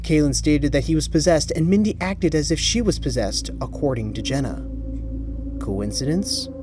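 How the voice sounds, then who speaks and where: A man narrating a scripted horror story in a dramatic, measured way, pausing for suspense. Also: fairly high pitch, animated. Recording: music behind the voice. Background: music.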